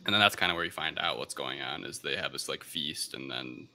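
A man co-hosting a casual film review podcast, speaking in a hesitant, halting way as he searches for words. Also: rumbling low, sharp tone, a little hiss or hum. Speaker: podcast co-host.

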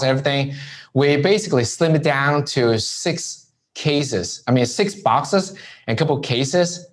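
A man who grew up in Hong Kong, a guest in an interview, telling his story casually and often checking in with "right?". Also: mid-range voice. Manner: relaxed storytelling with frequent "right?" tags. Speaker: entrepreneur guest. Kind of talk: conversational interview.

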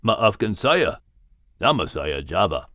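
Deep, mysterious big panther. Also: no room sound, clean studio sound, full character voice. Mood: mysterious, amused. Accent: Malaysian. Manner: calm, unhurried, polite. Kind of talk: children's storybook character voice.

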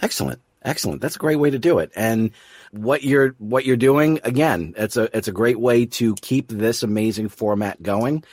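A man's voice: medium pitch, normal speed, darkish tone, no room sound, studio quality. A man hosting an interview podcast, speaking in an effusive, appreciative way. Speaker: podcast host and producer.

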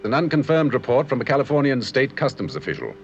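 An actor playing a grave, authoritative colonel in a vintage puppet sci-fi series, clipped and formal. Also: male voice, medium pitch, wildly swooping pitch, fast. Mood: puzzled, businesslike. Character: grave authoritative male colonel commanding a security organization. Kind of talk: 1960s puppet TV sci-fi acting. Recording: dull vintage TV soundtrack, faint noise.

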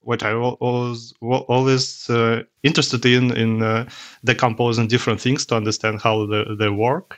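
Bright, low-pitched Russian-accented man, halting. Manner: halting, hesitant phrasing in non-native English. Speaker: podcast guest, tech executive. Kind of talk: conversational interview answers.

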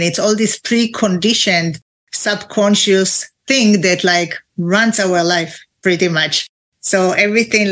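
A woman's voice: fairly low pitch, fairly bright tone. A woman from Israel with an Israeli accent, a Hebrew speaker talking casually as an interview guest over a video call, chatty, laughing often and using many fillers. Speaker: guest, NLP trainer.

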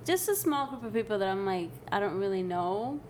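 A woman's voice: medium pitch, sing-song, fairly quick. Woman podcast host kinda unsure, says like a lot, hissy mic. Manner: hesitant, sprinkled with "like". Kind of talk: casual podcast chat.

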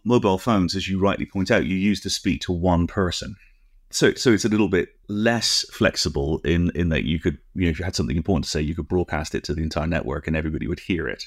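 Guy with a deep voice on a podcast, nerdy and precise, rambles off on tangents, really dry sound. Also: dry recording. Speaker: podcast co-host. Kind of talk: conversational technical explanation.

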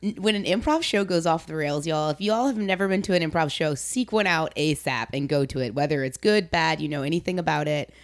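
A woman's voice: deep voice, lively intonation, brisk, few pauses, no echo, clean studio sound. A woman hosting a podcast in a casual, chatty style, with a gushing, emphatic way of talking. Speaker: podcast host. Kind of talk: casual chat.

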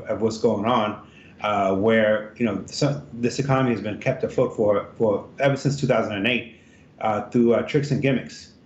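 A man from Ethiopia, a congressional candidate, answering interview questions in a halting way with many fillers and restarts. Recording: roomy, dull remote line with faint rumble.

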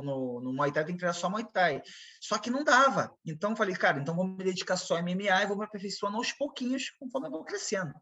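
A Brazilian man talks through his fighting past in a relaxed interview, circling back and repeating words as he acts out what others said. His voice sits a little high and has a husky edge. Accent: Brazilian Portuguese. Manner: rambling, repeats himself, quotes others in dialogue. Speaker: MMA fighter, guest. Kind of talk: casual interview storytelling.

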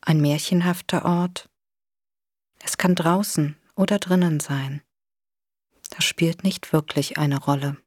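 A woman leading a guided imagery relaxation exercise in a soothing, gentle, unhurried way with long pauses. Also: low-pitched, fairly even intonation, no echo, studio quality. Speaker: guided meditation instructor.

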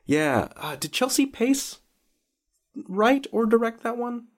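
A man's voice: extremely high pitch, sing-song, slow pace. A man hosting a casual interview, talking in an enthusiastic and vividly descriptive way. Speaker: interviewer, show host.

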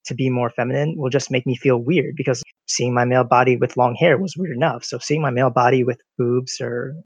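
A man from the United States, a podcast guest telling stories in a relaxed way, with lots of "like" fillers. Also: mid-range voice, a little fast, breathy edge, no echo. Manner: relaxed storyteller, many "like" fillers. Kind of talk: casual conversation. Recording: dry, dull lo-fi audio.

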